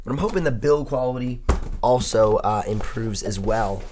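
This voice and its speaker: A young man with a hoarse voice he says he has partly lost, chatting upbeat and thinking aloud as he unboxes and reviews a laptop. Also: on the low side, normal speed, noisy background. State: hoarse, losing his voice. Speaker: tech reviewer. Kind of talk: casual unboxing talk.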